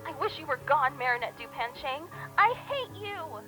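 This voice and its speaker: A woman voicing a spoiled, scheming teenage rich girl with a high, whiny, sneering voice. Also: big pitch swings, normal speed, music in the background, poor audio. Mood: hateful. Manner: sneering, self-pitying.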